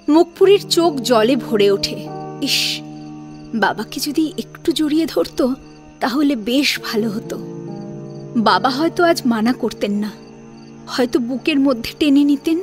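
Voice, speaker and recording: A woman narrating a scripted story in an expressive, dramatic storytelling style. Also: high-pitched.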